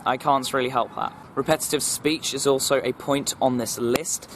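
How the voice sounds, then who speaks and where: A young man from England, talking earnestly to camera in a personal vlog. He speaks in a formal, measured way and often repeats himself and restarts sentences. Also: mid-range voice, slight background noise. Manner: formal, even delivery. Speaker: vlogger.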